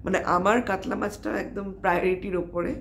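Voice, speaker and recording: A young woman with a Bengali accent chats in the casual style of a home vlog. Her voice sits at a middle pitch with a clearly creaky, fry-laden edge. She slips English phrases into her talk as she goes. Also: little pitch movement, fast, noisy background. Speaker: vlogger.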